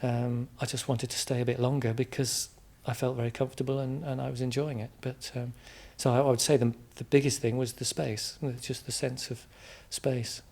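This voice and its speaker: A man from England with an English accent, answering interview questions informally in a reflective, halting way, often repeating words as he explains. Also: mid-range voice, little pitch movement, gravelly, darkish tone. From England, Midlands. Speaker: interview guest.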